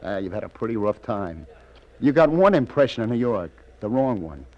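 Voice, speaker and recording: An American actor playing a weary, sardonic New York police detective in 1940s radio crime drama, terse and wry. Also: male voice, fairly low pitch, big pitch swings, moderate pace, lo-fi sound. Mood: warm, encouraging. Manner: terse, wry, hard-boiled.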